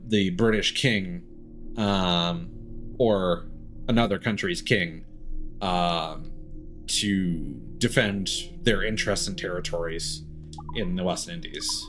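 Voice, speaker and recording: A man giving casual livestream commentary on a video game, rambling and thinking out loud as he plays. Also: on the low side. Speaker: live streamer playing a video game.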